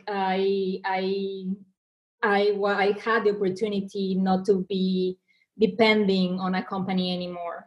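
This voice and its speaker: A woman in her thirties with a Mexican accent, talking as a guest on a business podcast. She speaks earnestly at a middling pitch and often restarts her sentences. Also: darkish tone, rough recording. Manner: earnest, lots of restarts and repeated words. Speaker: guest, marketing executive. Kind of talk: podcast interview.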